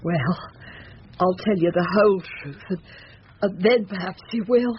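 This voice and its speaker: A woman's voice: mid-range voice, measured pace, natural voice. A woman playing a flustered, remorseful working-class Victorian wife and mother, tearful and fretful, in an old-time radio drama. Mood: regretful confiding. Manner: tearful, fretful, sighing exclamations. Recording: muffled, hissy old radio drama recording.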